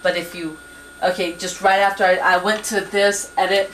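A young woman patiently teaching word processing at a desk computer, with many pauses and ums, recorded on a 1990s camcorder in a small home office. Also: on the low side, level tone, a bit bright, rough recording. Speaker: computer instructor. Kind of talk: hands-on software tutorial. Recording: 1990s camcorder with faint hiss.

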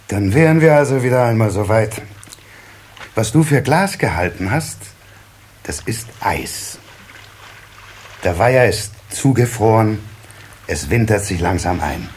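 A man playing a kindly, patient water sprite father with a gently amused manner in a children's radio play. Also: fairly low pitch. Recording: cassette recording with faint noise.

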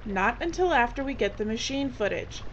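A woman acting a brisk, sardonic, no-nonsense film director in an audio drama. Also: on the high side, lively intonation, fairly bright tone. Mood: wry, suspicious. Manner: bossy, wry. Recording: dull, slightly noisy recording.

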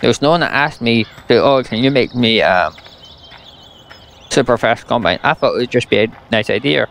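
A man's voice: medium pitch, moderate pace, vocal fry, fairly bright tone, slight background noise. A young Scottish man giving blunt, sarcastic gameplay commentary and ranting about a farming game. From Scotland. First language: English. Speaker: gaming YouTuber.

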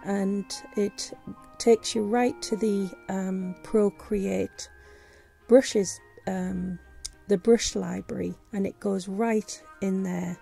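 A woman's voice: medium pitch, steady tone, slow, vocal fry, warm tone, soft background music. A woman who is losing her voice, talking casually and hesitantly through a tutorial voice-over, with many fillers and restarts. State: losing her voice, sore throat. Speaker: vlogger. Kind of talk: casual screen-recorded tutorial. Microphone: voice-over on screen recording.

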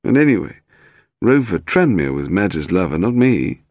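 A man's voice: low voice, big pitch swings, normal speed, a little mellow, no room sound, studio quality. A man voicing a nervous, indignant in an audiobook, prim and plaintive, forever protesting. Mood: aggrieved confession. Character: fussy, nervous, bald upper-class Englishman, indignant and wounded.

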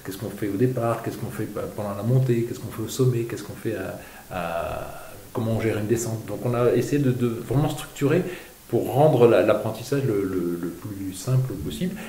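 Man with a low, mellow voice. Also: animated, moderate pace, a little hiss or hum. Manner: stammering, asks questions aloud. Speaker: journalist and co-author. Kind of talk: panel talk at a book evening. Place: small room in a bookshop. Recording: echoey room.

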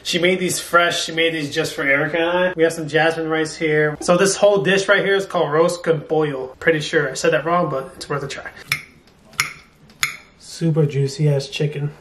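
Higher-voiced young man vlogging over background noise. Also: fairly even intonation, normal speed, fairly bright tone. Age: 20s. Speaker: food vlogger. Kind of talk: casual chat and food review. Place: restaurant, home kitchen, car, bedroom. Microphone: camera at arm's length. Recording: noisy handheld camera audio.

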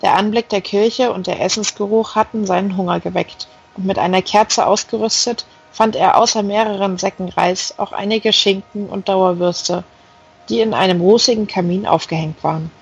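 A woman's voice: mid-range voice, steady tone, normal speed, gravelly, slightly rough, soft background music. A woman reading a novel aloud as scripted narration, on a slightly dull recording. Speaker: audiobook reader.

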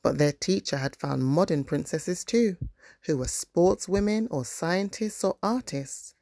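A woman narrates a children's storybook as a parent reading aloud. Her voice is low and the sound is dry and close. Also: a little slow, mellow, dry recording.

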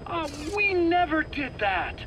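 Evasive scientist, high voice, slow stammering delivery, defensive, self-pitying, pleading, video game. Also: male voice, very animated, background noise, full character voice. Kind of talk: dramatic video game voice acting.

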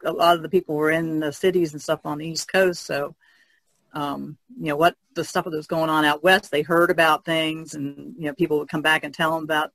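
Man, podcast panelist, creaky voice, flat intonation, casual discussion, dry sound. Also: on the high side, brisk, no echo, poor audio.

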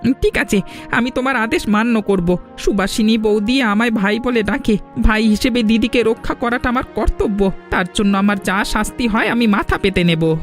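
Bengali servant guy acted by a man, super high voice, humble and anxious, cartoon. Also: moderate pace, faint music behind, lightly acted. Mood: humble, dutiful. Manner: deferential.

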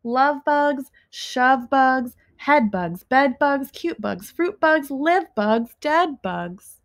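A young woman reads aloud for children in a fairly high voice. She goes very slowly, setting off each short phrase with a pause and clear emphasis. It is an expressive storytime delivery, recorded in a small living room at home. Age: young adult. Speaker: teacher reading a picture book to children.

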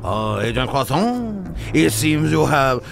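Man putting on a theatrical supervillain, slow drawn-out delivery, gloating, menacing, comic radio play. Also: fairly high pitch, sing-song, creaky, soft background music, heavily acted. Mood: gloating menace. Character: gloating male henchman and theatrical chef supervillain. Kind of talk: comedic improvised radio-play acting.

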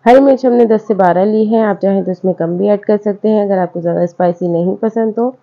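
A woman's voice: medium pitch, fast talker. A woman, hosting a cooking channel and explaining a recipe step by step in a friendly, repetitive home-cook way. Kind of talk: voice-over recipe instructions. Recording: slightly dull, lo-fi sound.

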